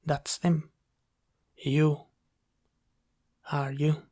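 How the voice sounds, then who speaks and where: A man playing a gentle, apologetic sleep paralysis demon in a soft, hesitant, reassuring ASMR roleplay. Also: a little high, level tone. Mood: tender, reassuring. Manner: soft-spoken, hesitant, reassuring, pausing often.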